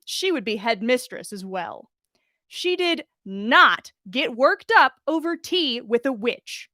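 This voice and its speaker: A woman's voice: sits high, bright, forceful tone, dry recording. A woman hosting a podcast livestream, informal and giggly, chatting and reading fan fiction aloud close to the mic. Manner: giggly, informal, swears casually. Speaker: podcast host. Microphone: close mic with pop filter.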